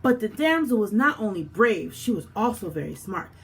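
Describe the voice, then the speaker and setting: A woman storyteller giving an expressive read-aloud of a children's picture book. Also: medium pitch, normal speed, slightly rough.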